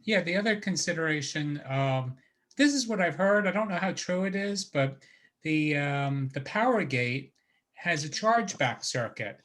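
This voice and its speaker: A man with a fairly high-pitched voice explains technical points informally. He is speaking as a participant on a video call. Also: lively intonation, moderate pace, a bit bright. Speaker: workshop participant.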